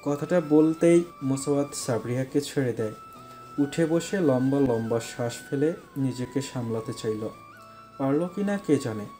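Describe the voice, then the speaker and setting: Mellow male narrator of a love story. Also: medium pitch, moderate pace, soft background music. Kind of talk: scripted romantic story narration.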